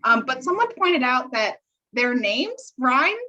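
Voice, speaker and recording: Chatty high-voiced woman on lo-fi audio. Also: sing-song, measured pace, sharp tone. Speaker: podcast co-host. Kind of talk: casual chat. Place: remote online call. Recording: dull, lo-fi call audio.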